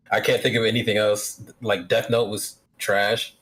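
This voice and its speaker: A man co-hosting a casual podcast, blunt and opinionated, quick to laugh. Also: on the low side, level tone, a bit bright, slightly rough, rough recording. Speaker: podcast co-host.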